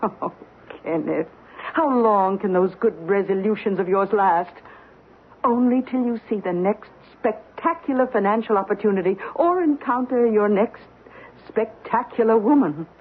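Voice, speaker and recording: An actress playing a bitter, proud, middle-aged wronged wife in an old-time radio drama, speaking in an articulate, cutting, deliberate way. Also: female voice, mid-range voice, slightly rough. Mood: cold, scornful. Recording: tinny, noisy old radio recording.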